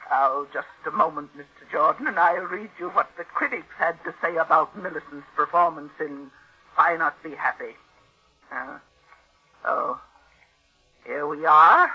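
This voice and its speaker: Husky, imperious old aunt. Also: female voice, sits low, measured pace. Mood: offended, haughty. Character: ailing, imperious older aunt, secretly a scheming impostor. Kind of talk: 1940s radio acting. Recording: muffled old radio recording.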